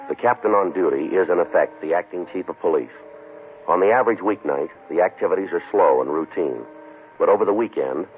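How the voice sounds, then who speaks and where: An actor as a deadpan police detective. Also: male voice, sits low, natural voice. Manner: flat, deadpan, matter-of-fact. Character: terse, patient police detective narrator. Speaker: detective and narrator. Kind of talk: clipped 1950s radio drama narration. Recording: tinny lo-fi old radio broadcast with hiss.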